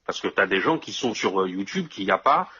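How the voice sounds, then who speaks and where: A man's voice: deep voice, big pitch swings, brisk, sharp tone, breathy edge, lo-fi sound. A man in casual conversation, explaining things step by step and often checking that his listener follows.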